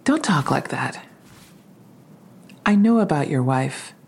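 Low, husky, tender detective. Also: female voice, expressive, slow, darkish tone, heavily acted. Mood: tender, reassuring. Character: tender female police detective, younger, gently persistent. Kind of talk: audio drama acting.

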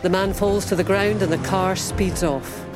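A woman's voice: mid-range voice, steady tone, normal speed. Woman narrating a trailer over music. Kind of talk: scripted narration.

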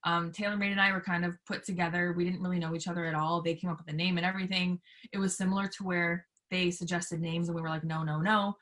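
A woman chatting casually as an interview guest, animated and playful, swearing freely as she tells anecdotes. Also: lowish voice, fast, few pauses. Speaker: guest, professional wrestler being interviewed.

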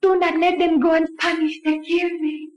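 Very high-pitched vengeful ghost girl. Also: little pitch movement, normal speed, darkish tone. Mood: eerie, vengeful. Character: eerie, vengeful ghost of a young woman. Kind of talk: 1940s radio acting. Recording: dull, muffled vintage radio recording.

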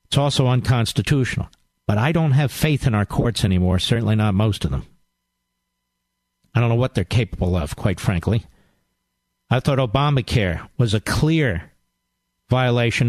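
A man's voice: mid-range voice, no echo. A middle-aged man hosting a call-in radio talk show, arguing emphatically and lecturing his callers.

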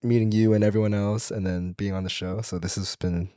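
A man from Atlanta speaks fast in a low, mellow voice, upbeat and playful as a podcast guest. The sound is dry and close. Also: level tone, clean tone, no room sound. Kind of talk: casual chat.

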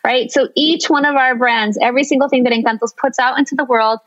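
Middle-aged woman guest, Venezuelan-American upbringing, lively, fairly high voice, slightly dull recording. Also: fast. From Venezuela and the United States. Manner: animated, laughs often, high bursts when quoting others. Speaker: guest, company founder and illustrator. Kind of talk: conversational interview.

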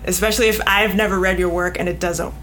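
A woman's voice: fairly low pitch, fairly even intonation, quick pace, a bit bright, slight background noise. A woman speaking frankly and emphatically as an editor being interviewed, joking and acting out examples as she explains. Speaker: magazine editor, podcast guest. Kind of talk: animated panel interview talk.

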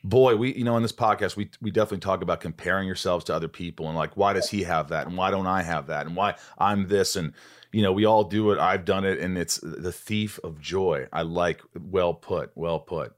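A man in his late forties hosting a podcast, friendly, expressive and jokey. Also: sits low, a little fast. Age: 40s. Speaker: podcast host. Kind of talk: casual interview chat.

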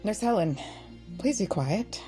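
An actress playing a brisk, commanding female ally in an audio drama, formal and businesslike in delivery. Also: mid-range voice, expressive, dark tone, soft background music, lightly acted, poor audio.

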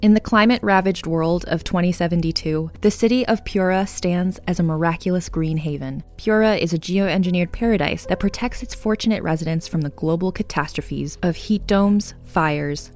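A woman's voice: fairly low pitch, a little mellow. Woman reading promos over music. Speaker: podcast promo and ad reader. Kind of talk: scripted promotional narration. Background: music bed.

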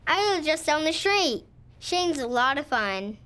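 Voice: sits high, sing-song, measured pace, bright, forceful tone, exaggerated voice. An actor playing an eerie, polite little boy from down the street in an audio drama. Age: child. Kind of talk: audio fiction acting.

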